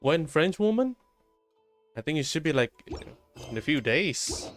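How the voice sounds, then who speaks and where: A man's voice: on the high side, sing-song, a little slow, a bit bright, soft background music. A man livestreaming a game, talking casually and muttering to himself as he plays. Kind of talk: casual solo gaming stream chatter.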